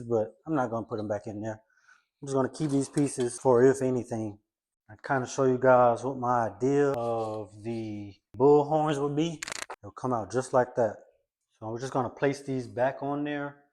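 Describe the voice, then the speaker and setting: A man's voice: medium pitch, normal speed, a little mellow, a little hiss or hum. A man hosting a car-build vlog, casually explaining his hands-on work as he goes. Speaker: host of a car-build video channel. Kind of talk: casual how-to vlog narration while working.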